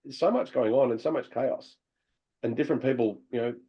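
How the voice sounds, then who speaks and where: Husky coach reviewing game footage. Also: male voice, mid-range voice, brisk, lo-fi sound. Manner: animated, laughs often, many restarts. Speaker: hockey coach. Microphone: video call.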